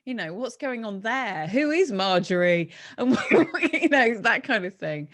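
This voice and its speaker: A woman's voice: fairly high pitch, very animated, measured pace, fairly bright tone. A woman hosting a conversational interview, talking in an enthusiastic, affirming way. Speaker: podcast host and interviewer.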